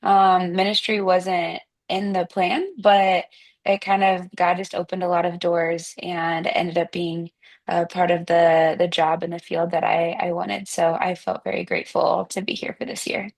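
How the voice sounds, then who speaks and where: A woman's voice: on the low side, flat delivery, measured pace, creaky. A young woman from Kansas chatting casually as an interview participant on a video call. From Kansas, United States. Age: young adult. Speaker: program participant being interviewed. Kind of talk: casual self-introduction and chat.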